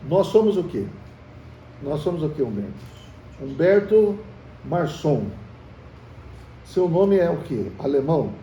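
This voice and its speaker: A man's voice: a little high, very animated, measured pace, long pauses, warm tone, muffled recording. Brazilian man teaching in an echoey room. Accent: Brazilian Portuguese. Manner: conversational, quizzes the group with questions; calls the listeners brothers. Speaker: Bible study teacher. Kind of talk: teaching a Bible study. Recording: echoey room, from far off, faint hiss.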